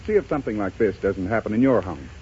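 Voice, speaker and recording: A man's voice: on the low side, animated, fast, mellow, a little hiss or hum. An American man announcing a scripted 1930s radio advertisement in a persuasive, emphatic way. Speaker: commercial announcer. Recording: muffled, rough 1930s radio recording.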